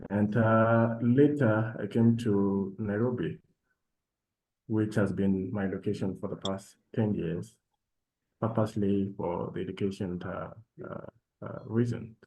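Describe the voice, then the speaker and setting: A man from South Sudan speaking as a panelist in an online session, with measured, formal phrasing. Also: fairly low pitch, steady tone, a little mellow, slight background noise, rough recording. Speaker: panelist and program alumnus. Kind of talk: panel talk, self-introduction.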